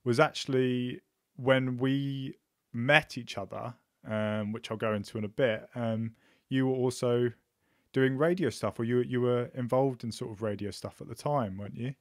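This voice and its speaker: A man hosts a casual podcast conversation. His voice sits at an ordinary pitch, and he talks in a halting, friendly way, often restarting his sentences. The sound is dry and close, with no room around it. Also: steady tone, no echo. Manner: hesitant, many ums and restarts. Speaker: podcast host.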